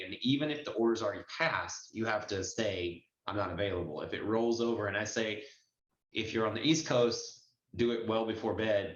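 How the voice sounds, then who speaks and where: A man's voice: on the low side, fairly bright tone. A man giving candid, informal instruction as a trainer answering questions on an online group call. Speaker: notary trainer. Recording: dull, echoey online call audio.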